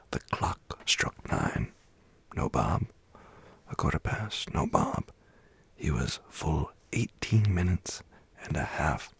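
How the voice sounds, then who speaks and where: Male ASMR storyteller, extremely low and gravelly, hushed, unhurried, for bedtime tales. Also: no echo. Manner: hushed, dramatic reading. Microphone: close mic.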